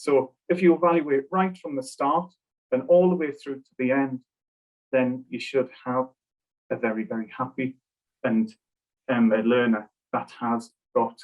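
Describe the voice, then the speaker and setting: A man at mid pitch speaking as a webinar panelist. He explains a training framework in an orderly way, listing each step in turn. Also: fairly even intonation, normal speed, a little mellow, rough recording. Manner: methodical, lists steps one by one.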